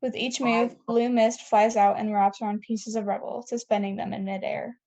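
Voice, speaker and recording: A woman's voice: on the high side, flat delivery, normal speed, warm tone. A woman reading scene headings and stage directions as narrator of a table read, on a dull lo-fi recording.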